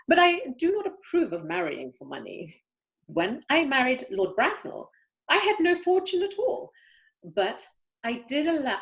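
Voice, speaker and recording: A woman's voice: fairly high pitch, full character voice. A woman playing a snobbish, imperious older upper-class aunt in an amateur play reading, haughty and emphatic, stumbling now and then. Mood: lofty, pronouncing. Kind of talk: amateur play read-through.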